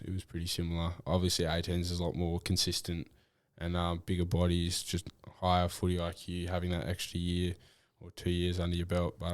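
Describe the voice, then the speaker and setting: A young Australian man answering interview questions about his football in a relaxed, rambling way, with many fillers and restarts. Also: low voice, no echo. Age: young adult. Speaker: draft prospect guest. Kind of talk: casual interview.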